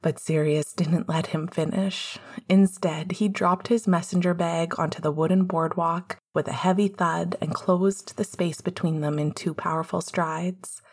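A woman's voice: fairly low pitch, steady tone, unhurried, hardly any pauses, breathy edge. A woman reading scripted fiction as the narrator of an audiobook. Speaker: podfic narrator.

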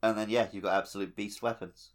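A man with a fairly low voice co-hosts a gaming podcast. He talks informally, musing aloud and slipping into anecdote in a relaxed conversational style. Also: fast. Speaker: podcast co-host; teacher. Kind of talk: casual gaming podcast chat.

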